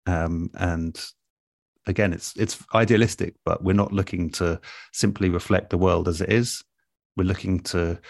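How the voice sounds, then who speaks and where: Man, low-pitched voice, dry close sound, thoughtful, articulate, relaxed interview guest. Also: creaky, no room sound. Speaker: guest, radio and podcast producer.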